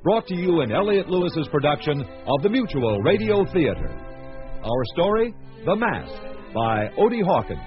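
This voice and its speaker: Lively, higher-pitched radio announcer man. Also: moderate pace. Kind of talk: scripted radio programme announcement. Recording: muffled radio recording with music.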